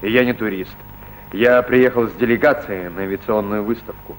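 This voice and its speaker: An actor playing a modest Russian aviator abroad, who speaks in a plain, earnest way. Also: male voice, on the low side, fairly even intonation, normal speed, slight background noise, natural voice, rough recording. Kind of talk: stage play acting.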